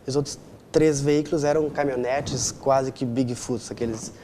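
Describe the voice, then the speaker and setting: A man's voice: medium pitch, steady tone, moderate pace. Young southern Brazilian man, noisy recording. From Rio Grande do Sul, southern Brazil. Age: 20s. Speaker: interview guest. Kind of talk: conversational interview answers.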